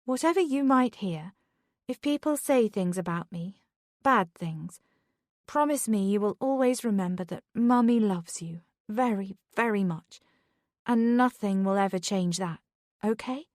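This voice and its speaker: Woman narrator doing a proud wounded mum, forceful and dry. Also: medium pitch, expressive, measured pace, dry recording, close to an everyday voice. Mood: pleading. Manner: firm, insistent. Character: defiant mother, proud and wounded.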